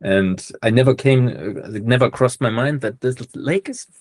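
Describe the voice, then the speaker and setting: A man from Germany chatting on a video call before giving his session, in relaxed, friendly small talk. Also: medium pitch, normal speed, no room sound, studio quality. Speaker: speaker of the session. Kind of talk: casual chat on a video call.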